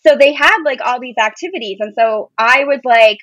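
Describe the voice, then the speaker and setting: An American woman in her thirties speaks in a somewhat high voice with very little room sound. She is a podcast guest, telling personal stories casually and with enthusiasm. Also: normal speed, fairly bright tone, dry recording. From Boston area, United States. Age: 30s. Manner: enthusiastic, informal, peppered with "like".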